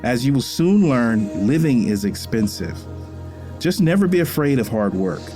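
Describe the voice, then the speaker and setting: A man's voice: mid-range voice, very animated, normal speed, over music, natural voice. An actor playing a firm, loving middle-aged father in a naturalistic drama, speaking in a plain, instructive way. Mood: earnest, advising. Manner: instructive, plain-spoken, colloquial. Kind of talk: naturalistic screen drama acting.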